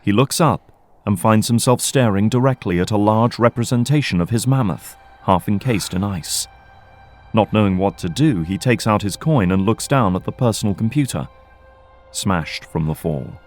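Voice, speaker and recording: A man's voice: fairly low pitch, normal speed, faint music behind. A man giving scripted narration as the storyteller of an audio drama.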